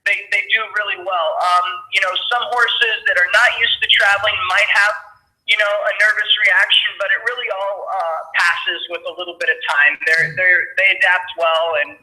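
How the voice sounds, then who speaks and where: A middle-aged man speaks from home over a webcam, and his voice is very bright and cutting. He talks in halting bursts, full of fillers and repeated words. The call audio sounds tinny, like a phone line. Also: high-pitched, little pitch movement. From United States. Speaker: guest, equestrian performer. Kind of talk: casual interview on a video call. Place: room indoors. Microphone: webcam on a video call.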